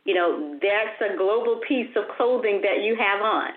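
A woman's voice: medium pitch, normal speed. A woman hosting an interview, chatting in a conversational way with frequent restarts and repeated words. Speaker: host. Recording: tinny phone line.

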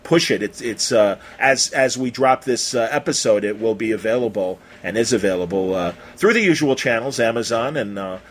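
Effusive, rambling male podcast host. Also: fairly low pitch. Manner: effusive, rambling, halting, peppered with mild swearing. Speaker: podcast host interviewing a guest. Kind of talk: casual interview chat.

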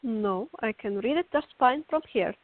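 A woman's voice: on the high side, animated, normal speed, dry recording, natural voice. A woman acting a fiery, stubborn, sarcastic teenage girl in a radio drama. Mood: stubborn, defiant. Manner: headstrong, sarcastic. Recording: muffled, phone-like narrow-band audio.

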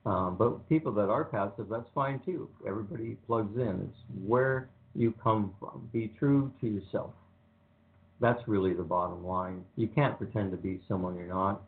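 An older man speaks in a low, flat monotone, drifting easily from point to point with frequent ums. He sounds like a laid-back radio host. The sound is thin and narrow, like a phone line. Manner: relaxed, rambling, many ums. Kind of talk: casual radio talk.

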